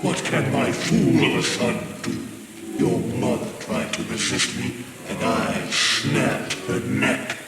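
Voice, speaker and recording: A man's voice: mid-range voice, very animated. An actor playing a devilish, gloating villain father in a video game, grandiose and cruel. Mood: gloating menace. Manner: slow, grandiose, menacing. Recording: cavernous reverb, heavy rain and thunder noise.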